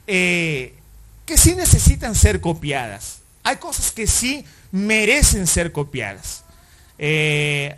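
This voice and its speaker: High-voiced male pastor preaching. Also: fairly bright tone. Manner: asks the congregation frequent rhetorical questions; conversational, with fillers and self-corrections. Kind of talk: sermon.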